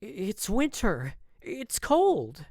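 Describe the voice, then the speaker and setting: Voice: medium pitch, huge pitch swings, slow pace, darkish tone, no echo, clean studio sound, voice pushed up, full character voice. A performer voicing a nervous, stammering traveling tonic seller in a western audio story. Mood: alarmed, flustered.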